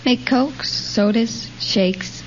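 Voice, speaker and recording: A woman's voice: a little high, drawn-out words. A nineteen-year-old woman from California with an American accent, giving plain, brief answers as a quiz contestant. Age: teens, 19. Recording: muffled, noisy 1940s radio recording.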